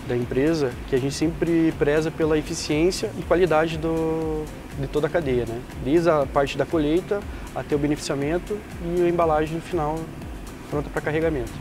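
A Brazilian man, a company's process director, explains the mill in a plain, halting way, restarting phrases as he goes. His voice sits a little high, and clear music plays under the outdoor recording. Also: steady tone. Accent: Brazilian Portuguese. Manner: halting, repeats words, plain and matter-of-fact. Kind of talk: casual explanatory interview. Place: outdoors at a sawmill.

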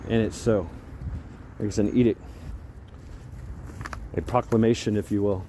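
A middle-aged American man chatting casually and haltingly in a rambling way while out walking, recorded on a handheld phone. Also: fairly low pitch, fairly even intonation, warm tone. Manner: halting, breaking off to talk to a dog. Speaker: vlogger. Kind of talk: casual rambling walk-and-talk chat. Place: outdoors walking along a neighborhood sidewalk. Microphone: handheld phone at arm's length. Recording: outdoor street noise, passing cars.

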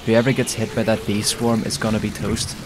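Low-voiced gamer man over rainy noise. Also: a little fast. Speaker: gaming video creator. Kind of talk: scripted gameplay narration and live team chat. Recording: steady loud background noise. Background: pouring rain and game ambience.

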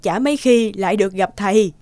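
A woman's voice: mid-range voice, quick pace, natural voice. A woman voicing a hearty, insistent and grateful village housewife in a folk-tale cartoon. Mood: grateful, hospitable.

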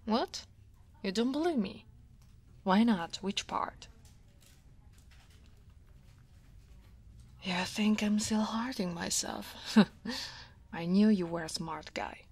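A female dragon office senior, played by a woman from Italy, speaks in a soft, husky voice at an unhurried pace. She is guarded yet teasing and easily flustered, in the intimate style of an ASMR roleplay. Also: mid-range voice. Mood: amused, rueful. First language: Italian. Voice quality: soft, breathy. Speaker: voice actor.

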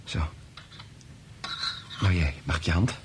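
A man's voice: deep voice, slow pace, noisy background. An actor playing a kind, patient male scientist in a Dutch radio drama, reassuring and explanatory in manner. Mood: patient, explaining.

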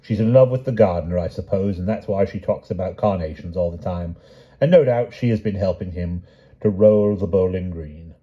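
A man's voice: deep voice, moderate pace, muffled recording, natural voice. A man doing a bluff, plodding old knight. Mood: pleased. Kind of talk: audiobook character voice.

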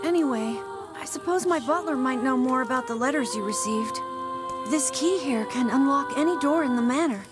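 A woman's voice: sits high, moderate pace, over loud music, natural voice. A woman voicing a polite, earnest young boy, master of a manor, in a video game, with a light youthful voice. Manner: polite, earnest, eager to help.

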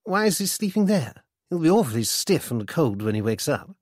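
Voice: mid-range voice, sing-song, moderate pace, darkish tone, no room sound, clean studio sound, pitched above the performer's own voice. A reader voicing a tipsy, flippant upper-class young English man with a plummy, exclamatory delivery. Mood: puzzled.

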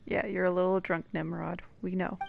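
A woman's voice: on the low side, flat delivery, normal speed, vocal fry, narrow, tinny audio, slight background noise. A woman giving casual gameplay commentary with dry, wry asides.

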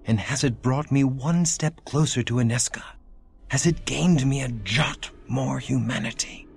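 An actor playing a brooding, earnest crusader knight turned vampire in a video game, solemn and archaic. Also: male voice, medium pitch, a little slow, creaky, slightly rough, full character voice. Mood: bitter.

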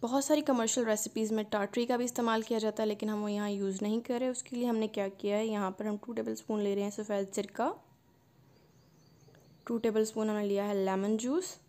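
A woman, hosting a cooking channel and calmly narrating a recipe step by step. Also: mid-range voice, a little fast. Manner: calm step-by-step instruction.

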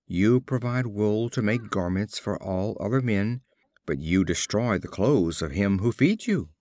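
A man's voice: fairly low pitch, expressive, unhurried, a little mellow, no echo, clean studio sound. A man voicing an indignant shepherd in a storybook, scolding in a declamatory, reproachful way. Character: indignant male shepherd scolding ungrateful sheep.

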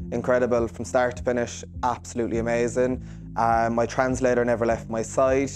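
A man's voice: mid-range voice, darkish tone. A young man, a hairdresser, speaking earnestly and reassuringly in an interview testimonial, with a few fillers and restarts, recorded on a lapel mic in a salon. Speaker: hairdresser giving a clinic testimonial. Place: hair salon, indoors.

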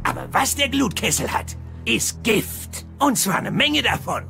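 Seasoned Viking, dramatic, creaky, wildly varied pitch, cartoon audio drama, music behind. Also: male voice, sing-song, unhurried, sharp tone, full character voice. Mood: dramatic warning.

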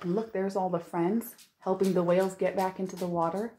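Expressive woman reading to young children. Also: medium pitch, a little hiss or hum. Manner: expressive, full of wonder, drawn-out emphasis. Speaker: children's librarian hosting storytime. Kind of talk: picture-book read-aloud with asides to young children. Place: living room at home, indoors.

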